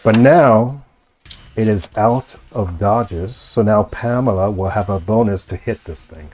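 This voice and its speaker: A man acting as game master, improvising narration of a tabletop horror game in halting, repetitive phrasing. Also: lowish voice, a little mellow.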